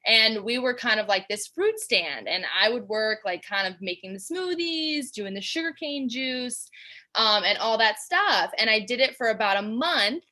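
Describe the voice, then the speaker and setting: A woman's voice: fairly high pitch, lively intonation, moderate pace, bright, forceful tone. A young woman from Georgia, a guest in a casual interview, talking in a bubbly, chatty way with lots of fillers. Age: young adult.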